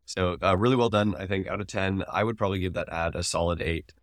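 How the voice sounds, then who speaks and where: Low-voiced man in casual podcast discussion. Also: steady tone, fast, no room sound, clean studio sound. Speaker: podcast co-host. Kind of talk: casual discussion and review of ads.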